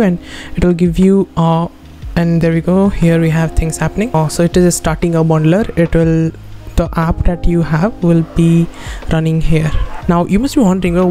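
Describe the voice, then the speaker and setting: A young man talks through a screen-recorded tutorial in an even, mellow voice. He is informal and often checks in with a short tag question. Faint music plays behind him. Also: a little high. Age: 20s. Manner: informal, often tagging statements with "right?". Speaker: tutorial host. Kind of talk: casual spoken screen-recorded coding tutorial. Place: indoor room at a computer.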